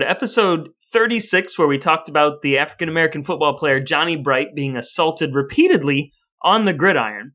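Bright-voiced man hosting a podcast, mock-grandiose. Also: a little high. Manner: mock-grandiose, wordy phrasing. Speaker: podcast host. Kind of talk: scripted podcast intro and banter with his brother.

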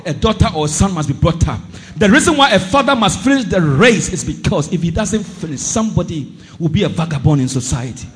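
Man, pastor, church sermon, very high pitch, animated delivery, impassioned. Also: fairly quick. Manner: impassioned preaching, swings between shouted declarations and low asides. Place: church service.

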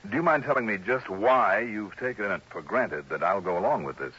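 Radio investigator: low, raspy, skeptical, politely probing, level-headed; 1960s drama on a muffled vintage recording. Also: male voice, expressive, a little fast, pushed and bright, full character voice. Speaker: insurance investigator.

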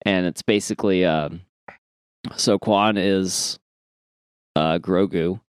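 A man co-hosting a podcast in casual comic chat, sometimes sweary and halting as he thinks aloud. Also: sits low, fairly even intonation, clean tone, no echo. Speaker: podcast co-host. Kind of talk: casual comic chat, reading listener emails.

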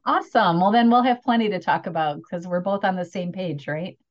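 A woman's voice: lowish voice, a little fast, lo-fi sound. A woman hosting an interview podcast, enthusiastic and encouraging as she asks questions. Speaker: podcast host.

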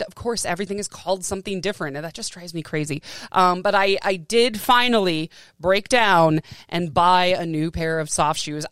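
Woman, American, podcast host. Smooth clear voice, close dry sound, chatty and enthusiastic. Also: on the low side, a bit bright, dry recording. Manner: enthusiastic, self-deprecating, informal. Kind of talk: casual chatty solo podcast talk.

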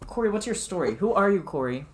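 A man's voice: high-pitched, expressive, fast. A man in his early twenties co-hosting a podcast, chatting casually and playfully in a chaotic, comedic way and often cutting in. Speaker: podcast co-host. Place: bedroom. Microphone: podcast microphone shared by two.

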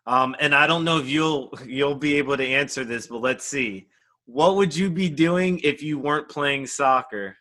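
A man interviewing a former player in a relaxed, jokey, encouraging way. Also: fairly high pitch, normal speed. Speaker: interviewer, coach. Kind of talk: casual interview questions.

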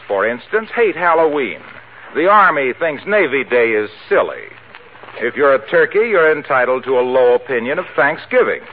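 A thin, scratchy old radio broadcast carries a male announcer from the 1940s. His voice sits a little high and swings with lively inflection as he delivers polished introductions and sponsor copy. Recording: scratchy narrow-band 1940s radio recording.

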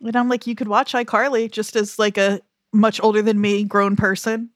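A woman's voice: mid-range voice, level tone, moderate pace, no echo, clean studio sound. Chatty playful woman in her thirties. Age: 30s. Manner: chatty, playful, laughs often. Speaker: podcast co-host. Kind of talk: casual chat.

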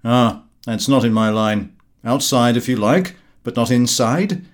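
Man playing a scoffing male friend, blunt, brusque, emphatic, loud, comic audiobook. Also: mid-range voice, measured pace, natural voice. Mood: dismissive.

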